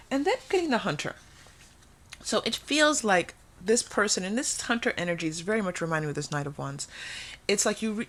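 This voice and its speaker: A woman's voice: medium pitch, fairly bright tone. A woman giving a casual, intuitive tarot reading to viewers, thinking aloud conversationally and repeating phrases for emphasis. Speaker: tarot reader and host.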